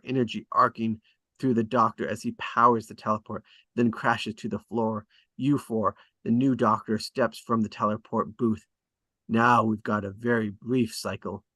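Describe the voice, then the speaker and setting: A man's voice: lowish voice, pushed and bright, breathy edge, no room sound. A man reading out scene directions as scripted narration at a drama table read. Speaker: reader of stage directions.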